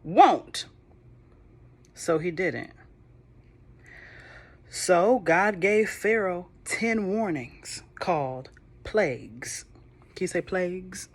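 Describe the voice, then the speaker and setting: A woman reading a children's Bible storybook aloud, with gentle, chatty, affectionate asides to the listening kids. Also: lowish voice, expressive, slow pace, pauses often.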